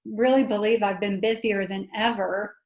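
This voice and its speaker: A woman's voice: mid-range voice, fairly even intonation, moderate pace, a little mellow, reverberant. A woman hosting a webinar discussion, rambling with many restarts. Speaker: webinar host. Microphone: video call.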